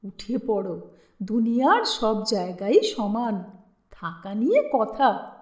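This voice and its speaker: A woman's voice: fairly high pitch, big pitch swings, slow pace, roomy sound. A woman voicing an offhand, worldly male fixer in a story, knowing and casually reassuring. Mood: muted, dismissive.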